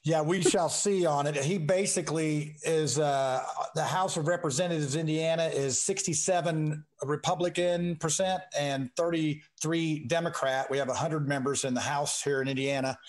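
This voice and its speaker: A man from the United States chatting informally as a political candidate on a call-in show, folksy, halting and joking. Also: fairly high pitch, fairly even intonation. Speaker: state house candidate and talk show guest.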